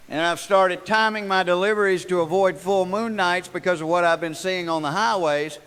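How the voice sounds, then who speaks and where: An actor playing an earnest, insistent American truck driver calling in to a radio show in a scripted drama. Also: male voice, high voice, fairly bright tone, clear, resonant tone. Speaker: radio caller. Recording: long reverb, faint noise.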